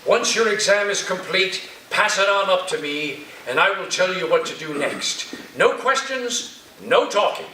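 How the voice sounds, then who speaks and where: A man's voice: high voice, expressive, unhurried, sharp tone, exaggerated voice. A man playing an eccentric, theatrical college professor who speaks in a grandiose, whimsical way in a staged play reading. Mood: stern, deliberate. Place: echoey room, staged reading. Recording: very echoey room, far off, faint noise.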